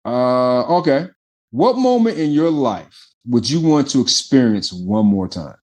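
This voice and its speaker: Guy hosting a podcast, lively up-and-down voice, stammers and repeats, all excited. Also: medium pitch, a little slow. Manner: enthusiastic, stammers and repeats himself. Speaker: podcast host. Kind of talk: casual interview chat.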